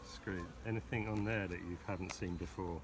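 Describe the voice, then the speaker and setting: Deep London beekeeper, relaxed teacher, outdoors with bees humming behind. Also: male voice, normal speed. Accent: London English. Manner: relaxed, explains step by step with restarts. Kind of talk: hands-on teaching chat. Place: outdoor community garden by beehives. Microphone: camera nearby, outdoors. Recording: outdoor recording with noise behind. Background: buzzing bees and outdoor ambience.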